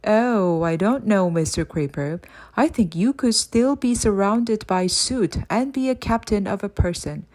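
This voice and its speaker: A woman voicing a kindly, calm and sly man in disguise, polite and composed, in a children's audiobook. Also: mid-range voice, a little slow, lightly acted. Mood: sly, secretive. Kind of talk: audiobook character voice.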